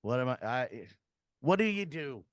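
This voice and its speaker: A man's voice: mid-range voice, very animated, normal speed, no room sound, clean studio sound. A middle-aged man hosting a wrestling podcast, ranting profanely and laughing often. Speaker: podcast host. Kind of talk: casual wrestling podcast chat.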